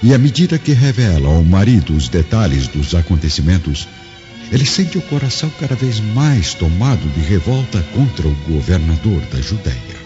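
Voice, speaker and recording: A man's voice: sits low, big pitch swings, moderate pace. A man with a Brazilian accent narrating a dramatized audiobook in a solemn, literary style. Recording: dull lo-fi audio, music behind. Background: background music.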